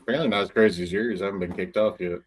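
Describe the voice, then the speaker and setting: A man's voice: low-pitched, fairly even intonation, racing. A man co-hosting a sports podcast, telling wisecracking stories in casual banter. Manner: wisecracking storyteller. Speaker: podcast co-host.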